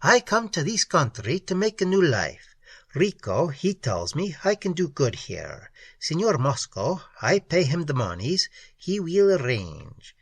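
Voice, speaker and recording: A man voicing a meek, bitter little immigrant craftsman in a story reading, with an Italian accent, a husky voice and halting broken English. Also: fairly high pitch, sing-song, dry recording, heavily acted. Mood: plaintive, resigned. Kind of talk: character voice in crime story reading.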